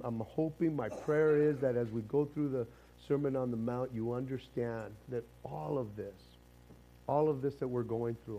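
A man with a hoarse, raspy voice, preaching a casual sermon with jokes and a rambling, self-interrupting way of talking. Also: medium pitch, warm tone, muffled recording. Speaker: pastor. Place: church sanctuary.

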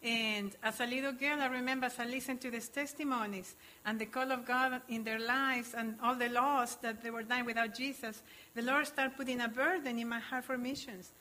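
A woman's voice: a little high, steady tone, moderate pace, hardly any pauses, bright, forceful tone, rough recording. Earnest woman missionary from Chile. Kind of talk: church testimony.

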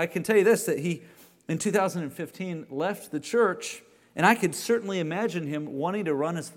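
A man's voice: on the high side, in a large hall. A man leading an informal church study, talking conversationally, often repeating words and checking in with "okay?". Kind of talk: informal sermon with questions.

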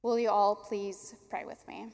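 A young adult woman pastor from Africa, an Afrikaans speaker, leading a baptism prayer in an earnest, warm way. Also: mid-range voice, level tone, a little slow. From South Africa. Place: church sanctuary. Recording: long church hall reverb.

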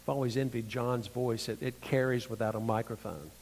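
A man's voice: medium pitch, fairly even intonation, fairly quick, darkish tone, a little hiss or hum. Thin-voiced man preaching in a hall. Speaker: conference speaker. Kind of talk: sermon. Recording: long hall reverb.

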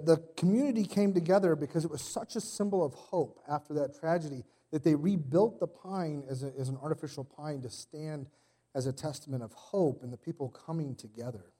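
A man in his thirties preaching a casual sermon while sick with a cold, his voice deeper than usual, with a slight cough and many fillers and restarts. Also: warm tone. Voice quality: extra deep voice today. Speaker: pastor. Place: church.

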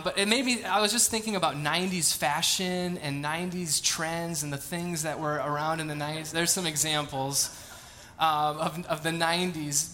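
A man in his thirties preaching from a church stage, his voice pitched a touch high and bright with an edge of tension. He slides from reading and prayer into loose, chatty storytelling, poking fun at himself. A faint hum of room ambience sits behind him. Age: 30s. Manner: casual, chatty, self-deprecating storytelling. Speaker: pastor. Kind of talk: sermon with scripture reading and prayer. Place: church sanctuary.

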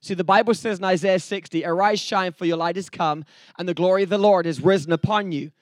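A man's voice: high-pitched, fairly even intonation, fast talker, dry recording. A man from England with an English accent, preaching a sermon through personal testimony in an earnest storytelling way with joking asides. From England, later Texas. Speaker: preacher, evangelist.

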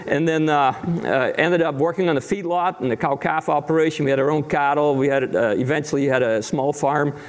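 A man's voice: a little high, fairly quick, few pauses. A man who grew up in the Netherlands and speaks Dutch as his first language, preaching as a guest pastor in an easy, chatty way with self-deprecating jokes. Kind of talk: sermon.

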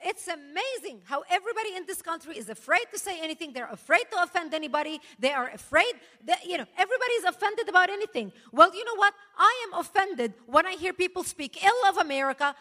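A woman's voice: extremely high pitch, expressive, quick pace, hardly any pauses. A woman for whom English is not her first language gives an impassioned, emphatic speech at a political rally, breaking into laughs now and then. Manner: forceful, emphatic, punctuated with laughs. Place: large hall before a live audience. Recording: echoey hall, long reverb.

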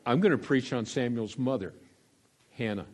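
An older man preaching a sermon in a folksy, meandering way, with plenty of uhs and restarts. His voice sits at an ordinary middle pitch. He drops to quiet asides, then rises to loud calls of amen. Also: normal speed. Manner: swings between quiet asides and loud exclamations. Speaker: pastor.

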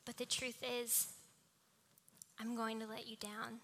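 Young female preacher, fairly high and very bright small voice, mixing scripture with personal anecdote. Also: level tone, slow pace, a little hiss or hum. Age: young adult. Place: live talk before a congregation.

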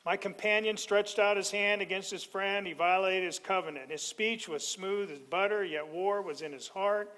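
A middle-aged male pastor preaching a sermon, animated and joking with the congregation. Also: high-pitched, normal speed, few pauses.

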